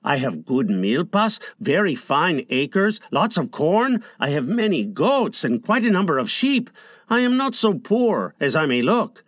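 A man's voice: a little high, a bit bright, no room sound, studio quality, pitched above the performer's own voice, exaggerated voice. A man doing an effusive village father. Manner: elaborate, flowery speech.

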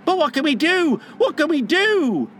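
A man doing high-pitched, excitable little boys. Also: takes it slowly, a little hiss or hum, exaggerated voice. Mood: panicked. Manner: eager, exclamatory. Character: excitable young lost boys, breathless and wide-eyed. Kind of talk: storybook character acting.

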